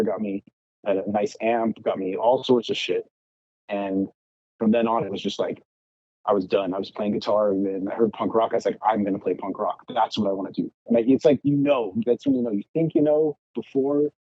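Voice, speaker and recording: A man's voice: lowish voice, slightly rough, no echo, highs cut off. A man telling stories casually as a podcast guest, swearing freely and acting out the things people said. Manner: profane, animated re-enacting of past arguments. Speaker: podcast guest, punk rock guitarist. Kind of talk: casual storytelling chat.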